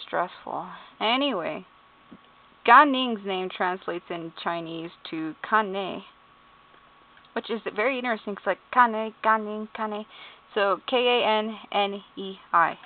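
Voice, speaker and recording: A woman's voice: mid-range voice, slow. A woman at home giving casual, amused commentary as she reads game character bios, spelling out names letter by letter, laughing and swearing. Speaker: YouTuber. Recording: tinny phone-like sound.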